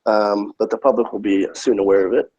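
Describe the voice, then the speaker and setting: A man's voice: fairly low pitch, fairly even intonation, fairly quick, mellow, breathy edge, dull audio. Laid-back American man, frequent laughs. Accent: Midwest American. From United States. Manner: easygoing, laughs often. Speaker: guest. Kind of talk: casual chat.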